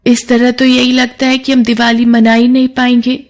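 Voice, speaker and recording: A woman's voice: a little high, monotone, moderate pace, voice pushed up, close to an everyday voice. A woman voicing an earnest, emotional poor young girl in a moral story, with a plaintive manner. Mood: worried, downcast.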